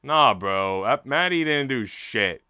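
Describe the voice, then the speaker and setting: A man's voice: mid-range voice, animated, takes it slowly, pushed and bright, smooth, no room sound, clean studio sound. A man streaming, reading a visual novel aloud and chatting casually with his audience. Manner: informal, slangy when chatting.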